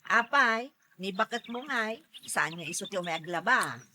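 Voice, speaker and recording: A woman's voice: mid-range voice, animated, normal speed, sharp tone, slight background noise. A woman playing a nosy, teasing neighbour in an audio drama, taunting in a playful way. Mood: teasing, mocking. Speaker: voice actor in a drama. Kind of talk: Ilocano audio drama acting.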